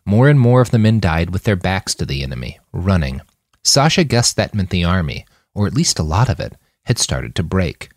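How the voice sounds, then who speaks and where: A man's voice: sits low, very animated, moderate pace, no room sound, studio quality. A man reading scripted fiction as a dramatic audiobook narrator, acting out the dialogue. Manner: dramatic, acts out character dialogue. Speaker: author reading his fiction podcast.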